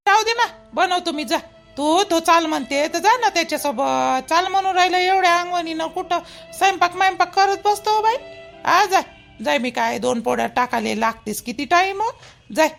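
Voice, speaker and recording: A woman's voice: very high-pitched, moderate pace, vocal fry, fairly bright tone, soft background music, heavily acted. A woman with a Vidarbha Marathi accent playing a caring, fussy mother-in-law in a village cartoon, insistent and repeating herself in a homely way.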